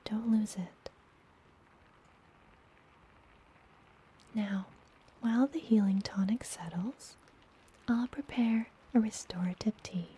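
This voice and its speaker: A woman playing a friendly, gentle witch healer in an ASMR fantasy roleplay, whispering softly and soothingly, as if up close. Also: mid-range voice, rough recording. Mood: gentle, caring. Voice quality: soft, breathy whisper. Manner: slow, soothing, intimate personal attention. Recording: close whisper with background noise.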